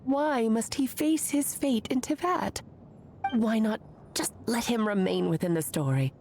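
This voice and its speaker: An actress voicing a gentle magical woman in a game cutscene, tender and earnest, with an expressive storybook delivery. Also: fairly high pitch, unhurried, slight background noise.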